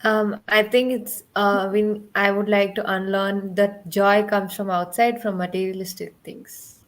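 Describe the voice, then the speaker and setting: A woman gives her answers in a group discussion. Her voice is of medium pitch, and her delivery is conversational. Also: little pitch movement, a little slow. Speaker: panelist.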